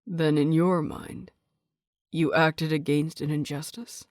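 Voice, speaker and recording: A woman voicing a stern older man, a clan teacher with a measured, formal, reserved manner, in an audio story. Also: deep voice, steady tone, dry recording, clean studio sound.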